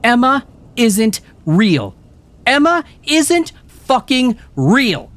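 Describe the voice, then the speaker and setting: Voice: mid-range voice, sing-song, very slow, a bit bright, voice pushed up, heavily acted. A man voicing a furious teenage girl who screams in a manic, tearful rage. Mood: furious screaming.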